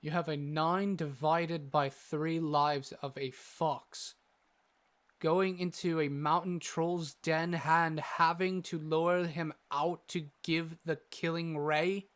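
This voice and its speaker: Learned fantasy adventurer, acted by a man: lighter, higher male voice, unhurried, articulate, earnest with gentle teasing. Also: fairly even intonation. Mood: wry, friendly. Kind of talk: fantasy audio drama acting.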